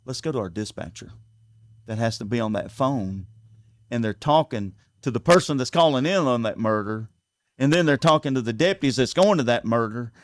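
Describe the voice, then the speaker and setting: A man with a Southern US accent talks in an earnest, plain way, often restarting and repeating words as he lays out his points. His voice sits at a middle pitch. The sound is dry and close, with no room echo. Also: lively intonation, fairly quick. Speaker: sheriff candidate. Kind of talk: casual interview talk. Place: local TV studio.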